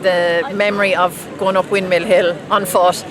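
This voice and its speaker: A woman's voice: lowish voice, little pitch movement, normal speed. Irish woman in her forties, heartfelt. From Ireland. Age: 40s. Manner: emotional, heartfelt. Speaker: race participant. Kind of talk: street interview. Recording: loud noise and crowd.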